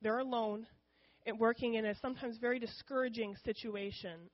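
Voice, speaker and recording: A woman's voice: a little high, fairly even intonation, normal speed, highs cut off. A woman giving an informal missions talk to her church in a candid, conversational way, with frequent ums. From United States.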